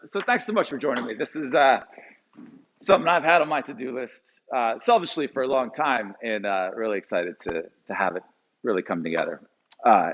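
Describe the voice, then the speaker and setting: A man in his forties from Pennsylvania, telling a personal story to a live audience with animated delivery and frequent fillers. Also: mid-range voice, dull audio. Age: 40s.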